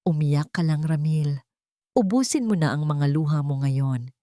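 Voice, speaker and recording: A wronged young mother, grieving and set on revenge, as a woman narrator plays her. Her voice is low and mellow, recorded dry for an audio drama. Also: animated, measured pace, dry recording. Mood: bitter, vengeful. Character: wronged, grieving young mother back from supposed death, vengeful.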